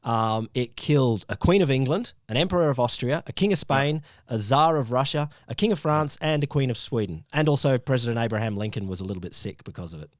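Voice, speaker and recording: A man's voice: mid-range voice, expressive, fairly quick, hardly any pauses, no room sound, clean studio sound. A man co-hosting a casual science podcast, explaining a topic with frequent ums and restarts. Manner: hesitant, lots of ums and restarts, laughs easily.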